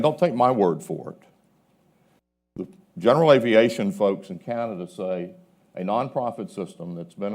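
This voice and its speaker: A man speaking as a panelist, arguing his point in a measured, emphatic way at a live event. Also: on the low side, dark tone.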